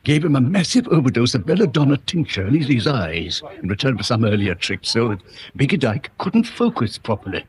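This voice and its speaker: Actor as a very animated English town gossip. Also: male voice, mid-range voice, fairly quick, natural voice. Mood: wry, confiding. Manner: chuckling, droll, confiding. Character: jovial, gossipy, mischievous small-town man. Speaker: radio drama actor. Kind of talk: radio drama dialogue.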